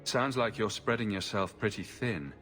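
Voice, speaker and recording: An actor playing an easygoing adventurer hero in a video game, with a wry, understated delivery. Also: male voice, on the low side, moderate pace, a bit bright, soft background music, natural voice. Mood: wry, joking. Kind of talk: video game cutscene acting.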